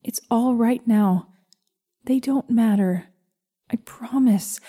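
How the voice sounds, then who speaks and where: Woman reading as protective schoolgirl, gentle, pleading, earnest, mellow tone, storybook dialogue. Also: on the high side, slow pace, breathy edge. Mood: soothing, resolute. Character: earnest, protective schoolgirl consoling her wax dragon.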